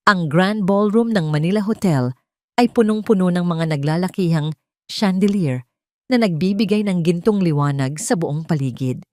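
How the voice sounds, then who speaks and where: A woman's voice: fairly low pitch, darkish tone, no room sound, studio quality. A woman reading a scripted first-person story as a dramatic narrator.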